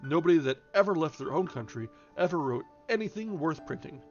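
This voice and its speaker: A man's voice: on the high side, animated, brisk, a little mellow, pitched above the performer's own voice, close to an everyday voice. A man voicing a boisterous American man who needles and mock-preaches in declamatory audiobook dialogue. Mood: mock lecturing. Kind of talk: acted dialogue in an audiobook reading. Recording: dull lo-fi recording, music behind.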